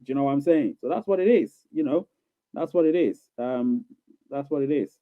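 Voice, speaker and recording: A man's voice: medium pitch, fairly quick, no echo. A man hosting a casual live stream, answering viewer questions in a rambling, reassuring way, repeating himself with many fillers. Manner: reassuring, coaxing. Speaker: livestream host reading viewer questions. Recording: tinny phone-like dry sound.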